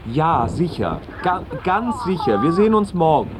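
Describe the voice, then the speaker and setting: A man's voice: a little high, very animated, measured pace, heavy background noise. An actor in a radio play voicing an irritable middle-aged family man who grumbles to himself. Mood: placating. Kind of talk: naturalistic radio play acting.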